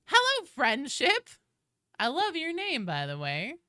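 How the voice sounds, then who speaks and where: Animated, bright-voiced woman streamer. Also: sits high, takes it slowly, dry recording, studio quality. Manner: animated, informal, freely swearing. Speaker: live streamer hosting a game stream. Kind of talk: casual livestream chat with viewers.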